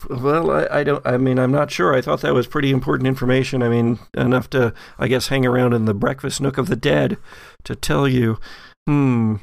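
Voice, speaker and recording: A man's voice: mid-range voice, little pitch movement, fairly quick, vocal fry, dry recording, natural voice. A man voicing a laid-back, casual spirit in a tabletop horror game. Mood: casual, unsure.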